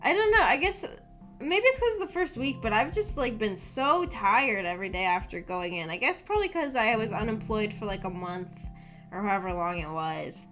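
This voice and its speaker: A woman's voice: mid-range voice, lively intonation, normal speed, fairly bright tone. Casting: young woman, livestreamer, hesitant and shy, meandering casual chat, music playing underneath. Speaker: streamer. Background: background music.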